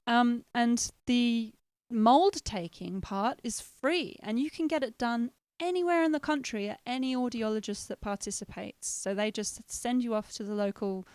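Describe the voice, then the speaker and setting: A woman's voice: on the high side, normal speed, few pauses, a little mellow, smooth, no echo. A woman co-hosting a casual livestream chat, telling anecdotes, laughing often and quoting the people in them. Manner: chatty, laughs often, tells anecdotes with quoted dialogue. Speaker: co-host.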